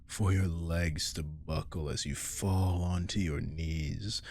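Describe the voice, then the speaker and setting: Man voicing a low, gravelly, seductive werewolf. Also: steady tone, a little mellow, full character voice. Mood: ominous, taunting. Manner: slow, coaxing, teasing, often dropping to whisper. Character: male captive werewolf, seductive, menacing, possessive manipulator. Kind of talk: ASMR audio roleplay acting. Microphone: close microphone.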